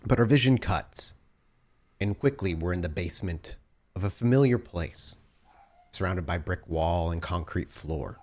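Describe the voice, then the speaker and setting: A man working as game master, narrating a tabletop game in a cinematic, deliberate and dramatic way. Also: sits low, lively intonation, a little mellow.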